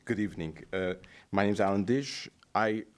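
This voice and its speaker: A man from Los Angeles giving public comment at a city commission meeting, halting and digressive, with formal greetings. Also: fairly low pitch. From Los Angeles, USA.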